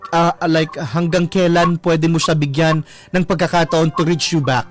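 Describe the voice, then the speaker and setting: A young man hosting a casual radio call-in show, teasing and full of expressive interjections. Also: on the high side, unhurried. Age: 20s. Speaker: radio host. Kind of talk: casual live radio call-in chat, reading listener comments. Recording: music bed behind. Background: faint background music.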